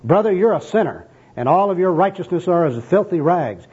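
A man's voice: high voice, expressive, brisk, a little mellow, husky, voice pushed up, exaggerated voice. A man voicing a zealous, accusing young street evangelist in a comic skit, emphatic and preachy. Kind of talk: comic skit acted out in a sermon. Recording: dull, muffled lo-fi recording.

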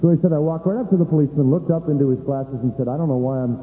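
A man's voice: a little high, rapid-fire, slight background noise. A man preaching a sermon with emphatic rhetorical questions, recorded in a church. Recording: echoey hall on a tinny line.

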